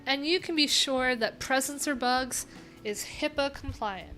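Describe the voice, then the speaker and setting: A woman's voice: sits high, unhurried, sharp tone. A woman in her thirties hosting a casual live coding stream, playful and thinking aloud in fragments. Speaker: live-stream host and web developer. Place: indoor room, live stream. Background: guitar music.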